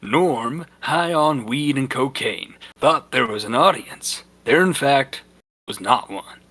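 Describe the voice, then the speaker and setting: A man's voice: mid-range voice, animated, slow pace, bright, forceful tone. A man giving an amateur, dramatic comic reading of a story as narrator, stumbling now and then. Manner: stumbling, breaks into amused asides. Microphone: voice chat on a computer or headset mic.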